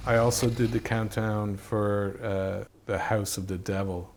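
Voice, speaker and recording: A man speaking as a film director in an interview, reminiscing about his work in halting, hesitant phrases. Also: lowish voice, level tone, darkish tone. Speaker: film director and effects artist being interviewed.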